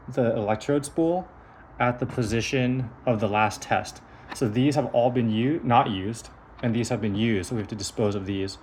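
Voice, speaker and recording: A man's voice: mid-range voice, a little mellow, a little hiss or hum. A man giving a hands-on technical demonstration of a device in a methodical, explanatory manner. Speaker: engineer presenting a prototype device.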